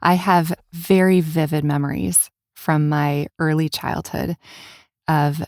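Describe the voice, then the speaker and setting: A woman hosting a podcast, talking in a reflective, measured, conversational way. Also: sits low, fairly even intonation, a little mellow, no room sound, clean studio sound. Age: adult, parent of children. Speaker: podcast host. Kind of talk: conversational interviewing.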